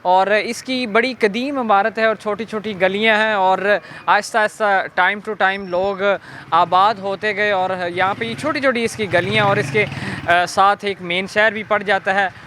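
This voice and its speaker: A man with a Pakistani accent presenting a walk-through documentary on location, explaining in a halting way. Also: high voice, fairly even intonation, pushed and bright, a little hiss or hum. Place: on location in an old fort's streets.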